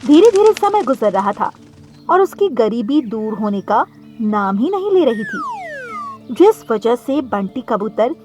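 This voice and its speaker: High, lively woman storyteller. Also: slow, over music. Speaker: narrator. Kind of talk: scripted cartoon story narration.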